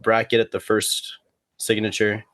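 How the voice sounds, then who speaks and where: A man chatting casually as a podcast guest, rambling and halting, with plenty of slang. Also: on the low side, completely flat delivery, fairly bright tone, no echo, studio quality. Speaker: guest, competitive cornhole player.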